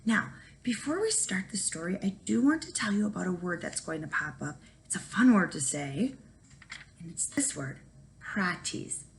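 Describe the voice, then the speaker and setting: Female teacher for a children's read-aloud, animated and emphatic, with a slightly gravelly creak. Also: fairly low pitch, normal speed, slight background noise. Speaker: first grade teacher reading aloud.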